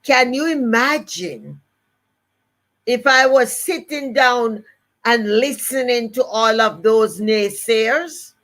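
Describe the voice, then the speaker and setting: An older woman with a Jamaican accent speaks slowly and deliberately in a motivational talk. She stresses and repeats her main phrases. Her voice sits a little high. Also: animated, a bit bright. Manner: emphatic, repeats key phrases. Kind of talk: motivational live-stream talk.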